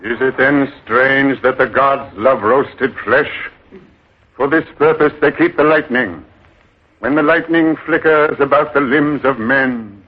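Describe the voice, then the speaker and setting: An actor playing a cunning beggar leader who poses as a god, speaking in a solemn, commanding, declamatory old radio drama style. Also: male voice, medium pitch, measured pace, husky. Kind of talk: 1940s radio acting. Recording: old muffled radio broadcast with music.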